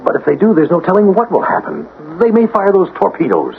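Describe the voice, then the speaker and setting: An anxious mayor in a radio drama, talking fast with a gravelly edge. He sounds worried and urgent on an old radio recording. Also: high-pitched, sing-song, full character voice. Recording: noisy, narrow-band old radio broadcast.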